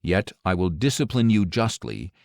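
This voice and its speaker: A man narrating scripture as an audiobook, reading from the text in a solemn, measured way with deliberate, clearly enunciated phrasing. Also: sits low, big pitch swings, a little mellow, no room sound, studio quality.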